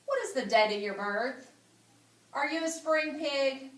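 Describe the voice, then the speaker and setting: A woman voicing a polite, dryly critical, weary aging female spider in a children's storybook, in a measured way. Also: high voice, animated, fairly bright tone, voice pushed up. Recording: roomy echo, distant microphone.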